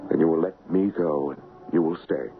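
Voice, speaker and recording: An actor playing a gallant, honorable, lovesick gentleman in a solemn, formal 1970s radio drama style. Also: a bass voice, fairly quick. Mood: earnest, urging. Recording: muffled old radio broadcast with music.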